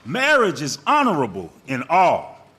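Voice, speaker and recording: A man reading scripture aloud on a radio show in a measured, deliberate way. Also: on the high side, wildly swooping pitch, sharp tone, slightly rough. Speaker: scripture reader.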